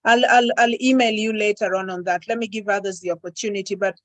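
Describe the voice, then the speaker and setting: A woman with an East African accent making an informal, encouraging comment as a participant in an online meeting, a little halting. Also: mid-range voice, fairly even intonation, fairly bright tone. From Kenya, born in Uganda. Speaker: audience participant, trainer. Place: online call.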